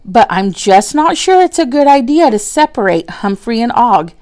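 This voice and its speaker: A woman playing a kindly, sensible woman schoolteacher who is gently firm, in a storybook reading. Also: fairly high pitch, very animated, moderate pace. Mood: considering.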